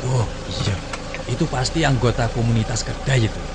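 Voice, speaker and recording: Actor as an easygoing Javanese-accented stall keeper. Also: male voice, mid-range voice, normal speed, heavy background noise, natural voice. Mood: curious, friendly. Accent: Javanese-influenced Indonesian. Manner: hesitant, agreeable, folksy. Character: easygoing, accommodating coffee stall keeper, male. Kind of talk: radio drama acting.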